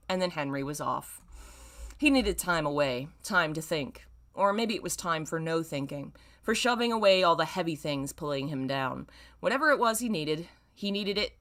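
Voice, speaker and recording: A woman reading a story aloud as host, in an expressive, theatrical way. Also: sits low. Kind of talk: dramatic story reading with amused asides.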